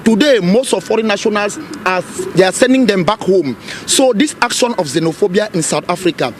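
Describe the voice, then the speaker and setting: A man from Cameroon makes an earnest appeal out on a city street. His voice is high for a man, and faint traffic hums behind him. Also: lively intonation, a little fast. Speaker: protester. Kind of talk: speaking at a street protest.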